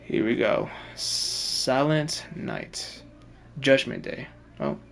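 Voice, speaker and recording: A man's voice: mid-range voice, big pitch swings, very slow, gravelly, a bit bright. A man livestreaming a game with casual, rambling, repetitive commentary. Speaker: game streamer.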